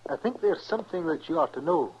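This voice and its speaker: Higher-pitched, earnest western townsman acted by a man. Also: lively intonation, fast, darkish tone, a little hiss or hum, lightly acted. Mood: hesitant, concerned. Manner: folksy, hesitant. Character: earnest, worried frontier townsman bringing warnings. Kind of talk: old-time radio western acting. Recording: muffled vintage radio recording.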